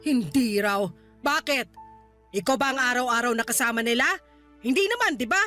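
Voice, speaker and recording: A stern Filipino grandmother for radio drama. Her voice is high and piercing, with a scolding, dismissive manner. Faint music plays underneath. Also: lively intonation, normal speed, bright, forceful tone. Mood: defensive. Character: stern, short-tempered grandmother scolding her grown daughter.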